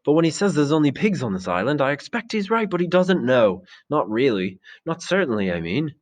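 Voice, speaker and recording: Man acting young schoolboy, storybook character, raised pitch, earnest, uncertain, halting and self-correcting. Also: very animated.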